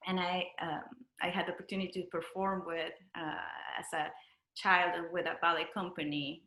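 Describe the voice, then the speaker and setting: Bright-voiced Peruvian woman, roomy sound. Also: fairly low pitch, flat delivery. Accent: Peruvian Spanish. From Lima, Peru. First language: Spanish. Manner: giggly, halting, searching for words. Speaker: interview guest, dancer and choreographer. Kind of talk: casual conversational interview. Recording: roomy echo.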